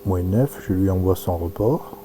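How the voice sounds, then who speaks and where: Male demonstrator, dark low voice, halting think-aloud commentary, slight hum behind. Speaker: presenter demonstrating amateur radio software. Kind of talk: informal live demonstration commentary.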